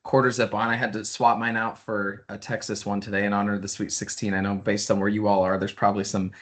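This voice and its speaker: A man's voice: fairly low pitch, flat delivery, quick pace, fairly bright tone. A man giving a friendly, chatty company overview on a webinar, restarting sentences often. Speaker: sales executive. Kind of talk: business webinar presentation. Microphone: video call.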